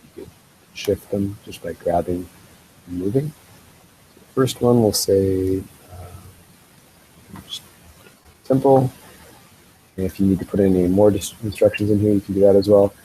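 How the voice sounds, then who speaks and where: Guy with a stuffy head cold explaining stuff on a screencast, mellow low voice, bit of hiss. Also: unhurried, pauses often, poor audio. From Canada. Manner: relaxed, explanatory, with ums and uhs. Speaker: school tech trainer. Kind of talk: screen-share software tutorial.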